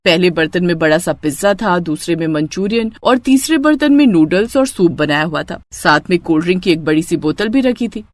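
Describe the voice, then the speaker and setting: A woman narrating a cartoon story and voicing the young wife, in an expressive storytelling manner. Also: on the low side, a little fast, hardly any pauses, no echo. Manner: lively, expressive.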